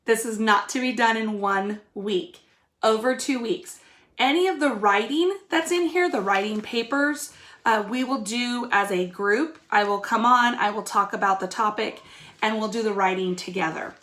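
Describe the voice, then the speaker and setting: A woman's voice: fairly high pitch, normal speed, fairly bright tone. A woman teacher giving young pupils an informal video lesson, explaining step by step and addressing them directly. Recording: echoey room.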